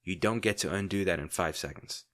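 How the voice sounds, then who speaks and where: A man playing a crime-boss villain in an intimate audio roleplay, secretly tender and worried, speaking sardonically with dramatic pauses. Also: low-pitched, fairly bright tone. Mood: sardonic.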